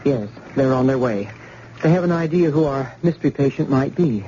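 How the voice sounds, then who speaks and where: An actress playing a tired, sharp-tongued young nurse who bristles at the doctor in an old radio drama. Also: female voice, extremely low pitch, expressive, a little hiss or hum. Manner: crisp and quick to bristle. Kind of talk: 1950s radio drama acting. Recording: muffled old radio recording.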